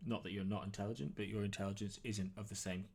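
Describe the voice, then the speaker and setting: Male game master, lowish pitch, tabletop role-play narration, conversational, improvised, halting phrasing. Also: flat delivery, vocal fry, a little mellow, slightly rough.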